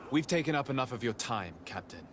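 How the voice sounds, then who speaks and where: An actor playing a polite, inquisitive noble diplomat in a video game, with formal, probing speech. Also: male voice, mid-range voice, very animated, brisk, close to an everyday voice. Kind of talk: video game cutscene acting. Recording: outdoor ambient noise throughout.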